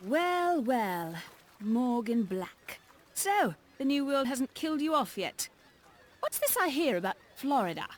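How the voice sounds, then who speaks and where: A woman's voice: high-pitched, very animated, slow, a little hiss or hum, heavily acted. An actress playing a cocky, sly female pirate captain in a game cutscene, teasing and tough. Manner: teasing, bold. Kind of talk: video game cutscene acting.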